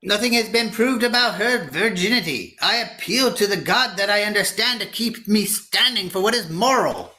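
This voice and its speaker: A man reads a righteous lord chancellor in a historical drama. The voice is high and bright, and the delivery is formal, unbending and admonishing. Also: normal speed, few pauses, rough recording. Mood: righteous, defiant. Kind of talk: online play reading.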